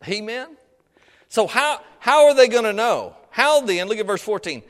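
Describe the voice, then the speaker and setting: A man's voice: high voice, animated, normal speed, fairly bright tone. A middle-aged man preaching a sermon in a folksy, exhorting manner, punctuating his points with questions and amens to the congregation. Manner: folksy, colloquial phrasing. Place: preaching to a congregation in a large room. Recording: reverberant hall with long echo.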